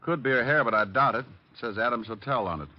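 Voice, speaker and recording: An actor playing a breezy, wisecracking private detective in 1940s radio style, flippant and deadpan. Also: male voice, medium pitch, quick pace, natural voice. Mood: brisk, dry. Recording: muffled old radio recording with music.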